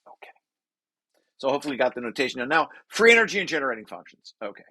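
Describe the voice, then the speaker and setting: A man presenting a technical paper, reading passages aloud and breaking off into informal, halting explanations with repeated restarts. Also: mid-range voice, sing-song, sharp tone, dry recording.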